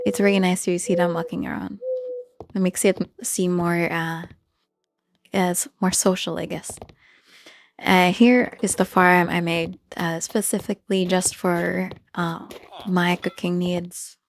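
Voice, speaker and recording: A person giving casual gameplay commentary in a hesitant, halting way, often searching for words. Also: medium pitch, level tone, slight background noise. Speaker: gamer narrating own Minecraft build tour. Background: background music.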